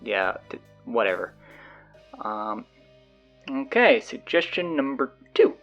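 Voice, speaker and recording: A man playing a flustered, sardonic stand-in high school announcer, rambling hesitantly and casually through audio drama announcements. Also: big pitch swings, takes it slowly, fairly bright tone, dull audio, soft background music, exaggerated voice. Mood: exasperated, casual.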